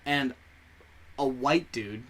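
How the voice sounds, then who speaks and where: A man's voice: mid-range voice, slow pace, fairly bright tone. A young man whose first language is Spanish, co-hosting a podcast in casual chat and rambling through stories while he often corrects himself. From United States. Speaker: podcast co-host.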